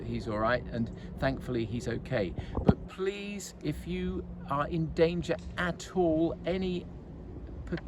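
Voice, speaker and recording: Chatty golf captain outdoors. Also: male voice, medium pitch. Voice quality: loud voice. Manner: chatty with frequent restarts and fillers. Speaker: golf club men's captain. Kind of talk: casual club update to members. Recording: faint outdoor traffic noise.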